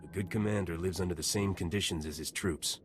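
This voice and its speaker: An actor playing a righteous, resolute soldier hero in a space video game, grave and assured. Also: male voice, low-pitched, brisk, a little hiss or hum, close to an everyday voice.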